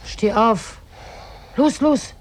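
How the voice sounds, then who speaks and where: A woman's voice: on the high side, extremely slow. An insistent mother urges and nags in an old radio drama. The fifties recording carries faint noise. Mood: urging. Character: urgent, nagging mother of a lazy grown son. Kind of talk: 1950s radio play acting.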